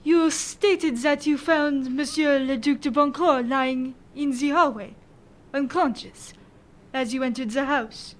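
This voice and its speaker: A woman's voice: high voice, fairly even intonation, unhurried. A woman acting a stern, formal French prefect of police questioning witnesses in a radio drama. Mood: measured, formal. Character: stern, fair-minded French prefect of police presiding over a trial.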